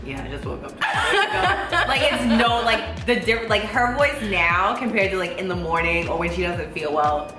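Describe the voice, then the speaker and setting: A young woman with a low, raspy voice, chatting playfully as co-host. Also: sing-song, moderate pace. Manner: playful, laughs often. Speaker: guest co-host. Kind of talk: casual chat. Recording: roomy echo with music behind.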